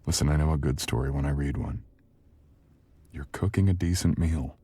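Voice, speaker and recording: A man playing a sharp, confident, flirtatious book editor in an intimate, conversational audio roleplay, speaking in a measured, teasing manner. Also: a bass voice, husky, dry recording. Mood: teasing, encouraging.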